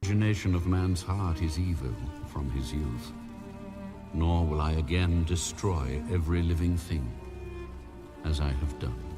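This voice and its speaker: An actor playing God as a solemn, commanding male deity in a dramatized scripture recording, speaking in measured declarations over music. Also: low voice. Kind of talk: dramatized audio scripture reading. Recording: produced audio with clear music underneath.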